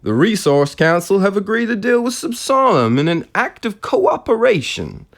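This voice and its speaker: A man's voice: sits high, sing-song, normal speed, voice pushed up. A man voicing a relentlessly jovial, theatrical American radio presenter in an audiobook reading. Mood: jovial, exuberant. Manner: theatrical, showy.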